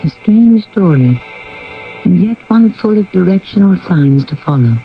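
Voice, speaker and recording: A man's voice: sits high, expressive, a little slow, phone-quality sound. Theatrical male radio mystery host, music behind. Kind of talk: 1970s scripted radio hosting, measured narration. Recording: band-limited 1970s radio broadcast with music.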